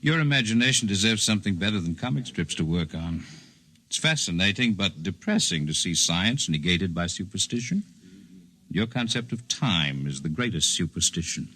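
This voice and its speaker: An actor playing a calm, erudite alien healer in a 1950s radio drama, speaking in formal, precise, gently condescending phrases. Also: male voice, sits low, moderate pace, full character voice. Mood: aloof, measured. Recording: 1950s radio broadcast recording.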